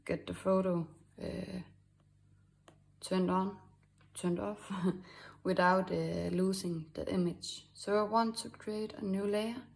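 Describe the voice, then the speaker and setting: A woman from Denmark with a Danish accent, hosting a drawing tutorial in a halting way with many fillers and soft asides. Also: mid-range voice, fairly even intonation, creaky. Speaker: art tutorial host. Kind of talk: screen-recorded drawing tutorial.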